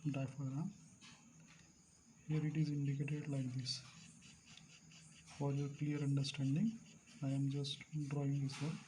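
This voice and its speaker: A male teacher explaining a device to students, repeating key terms slowly and clearing his throat now and then. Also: mid-range voice, little pitch movement, a little mellow, slight background noise, rough recording. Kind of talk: classroom lecture at a board.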